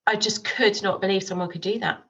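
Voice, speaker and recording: A woman's voice: mid-range voice, steady tone, fairly quick, fairly bright tone, breathy edge, dull audio. A woman guest with a cold, talking plainly and candidly in an interview. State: has a cold. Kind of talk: interview conversation.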